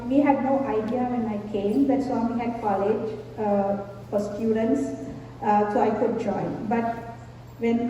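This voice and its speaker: A woman from Sri Lanka giving a devotional talk to a gathering, slow, measured and reverent. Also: on the high side, dark tone. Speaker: invited speaker at a devotional gathering. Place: large hall. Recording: echoey hall from far off, dull, faint hiss.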